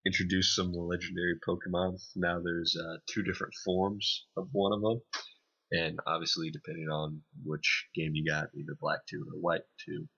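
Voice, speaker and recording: A man co-hosting a podcast, giving a casual video game review in a hesitant, explanatory way with many pauses. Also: sits low, creaky, sharp tone, husky, muffled recording. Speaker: podcast co-host reviewing games.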